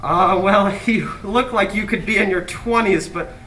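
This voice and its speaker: A woman's voice: medium pitch, unhurried, a bit bright, a little hiss or hum, lightly acted. A woman playing a nervous, blunt young person on a blind date, speaking with hesitant fillers on a stage. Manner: hesitant, prying. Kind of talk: school stage play acting. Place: theatre stage. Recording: distant mic with faint music.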